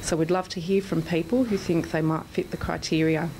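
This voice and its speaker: A woman's voice: fairly low pitch, fairly even intonation, brisk, a little mellow, rough recording. A woman answering interview questions as a trial coordinator. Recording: slight background location noise.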